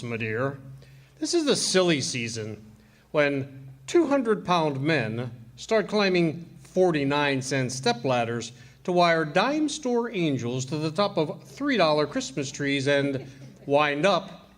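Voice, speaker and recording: Man playing animated, sardonic radio doctor. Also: a little high, slow pace. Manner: dry, wordy, sardonic. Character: crusty, wisecracking doctor, dryly scornful of fools. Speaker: doctor character. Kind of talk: 1940s radio comedy acting.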